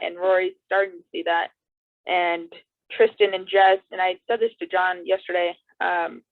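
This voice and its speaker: A woman's voice: fairly low pitch, no room sound. A woman hosting a casual video chat, talking with enthusiasm. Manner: enthusiastic, chatty. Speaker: podcast host. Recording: tinny phone-like dry line.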